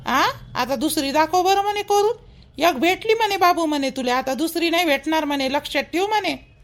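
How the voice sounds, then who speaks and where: A woman's voice: high voice, a little fast, close to an everyday voice. A woman voicing a chatty, meddling, protective elder in a village cartoon, with a colloquial Vidarbha Marathi accent. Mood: indignant gossip. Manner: colloquial, gossipy, advising.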